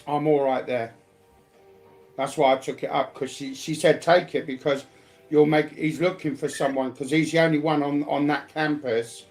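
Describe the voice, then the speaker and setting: Male, mid-pitched and fairly monotone, colloquial rambling chat with repeated phrases, faint music behind. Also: poor audio. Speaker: speaker sharing personal life news.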